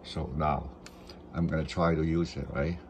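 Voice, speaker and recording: A man's voice: very deep voice, flat delivery, moderate pace, a little hiss or hum. A man giving a hands-on, unscripted step-by-step electronics tutorial, repeating short phrases for emphasis. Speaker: instructor demonstrating an electronics installation.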